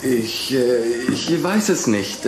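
An actor playing a flustered, evasive schoolboy in a German children's radio play, hesitant and stammering. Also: male voice, medium pitch, very animated, slow, echoey room, over music, poor audio.

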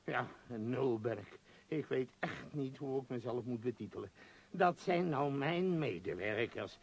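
Impatient, husky-voiced male tycoon. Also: a little high, highs cut off. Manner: blustering, commanding, muttering hesitations. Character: impatient, domineering, self-pitying male business magnate. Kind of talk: radio play acting.

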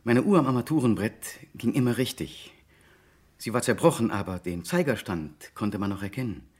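A man's voice: mid-range voice, lively intonation, normal speed, darkish tone, breathy edge. A man acting an anxious, tormented client in a 1960s German radio play, speaking hesitantly and broodingly as he confides. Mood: agitated recounting. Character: anxious, tormented man seeking a private detective's help.

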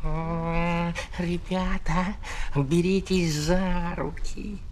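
A woman's voice: on the low side, fairly even intonation, drawn-out words, darkish tone. A woman playing a brave, protective tomcat who commands and encourages children in an audio play. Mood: steady, urging. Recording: faintly noisy old flexi-disc.

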